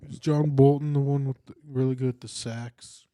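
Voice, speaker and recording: A man's voice: medium pitch, fairly even intonation, normal speed, mellow, no room sound. A man co-hosting a comedy podcast, tossing in dry one-line quips during casual studio banter. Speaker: podcast co-host.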